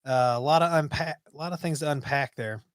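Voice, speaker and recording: A man's voice: on the high side, brisk, no echo. Guy in his 40s chatting on a desk webcam stream, lots of ums, dry close sound. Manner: relaxed, rambling, many ums and restarts. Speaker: livestream host. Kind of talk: casual live-stream chat reading viewer comments. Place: small home office, indoors.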